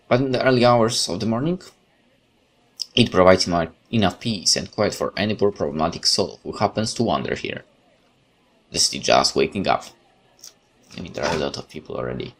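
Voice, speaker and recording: A man reading horror game text aloud as a video host, theatrical and expressive, with informal reactions. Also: low-pitched. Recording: noticeable background noise.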